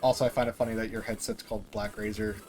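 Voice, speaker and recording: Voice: medium pitch, flat delivery, very fast, darkish tone, faint music behind. A player chatting casually over voice chat during an online tabletop game, joking with the group.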